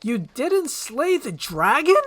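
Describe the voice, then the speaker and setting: A woman voicing a gruff, grumpy male ogre in a curt, sarcastic manner, reading a screenplay aloud. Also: sits high, sing-song, slow pace, fairly bright tone, pitched above the performer's own voice, heavily acted. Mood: impatient, dry.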